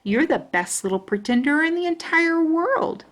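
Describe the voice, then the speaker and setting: A woman's voice: high-pitched, lively intonation, moderate pace, voice pushed up. A woman voicing an encouraging, coaxing father in a children's storybook. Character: encouraging father of a little girl.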